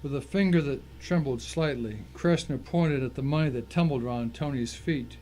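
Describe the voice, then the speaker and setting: A man's voice: medium pitch, normal speed, mellow, slight background noise, close to an everyday voice. A man playing the grim first-person narrator of a crime thriller, reading as scripted dramatic storytelling. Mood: tense, grim. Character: tense, vengeful male narrator of crime thriller.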